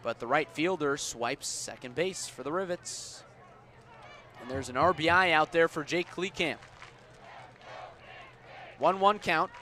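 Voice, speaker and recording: Male radio baseball commentator, forceful bright tone, live play-by-play delivery, faint ballpark noise behind. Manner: measured between pitches, punchy and louder on plays. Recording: outdoor ballpark ambient noise.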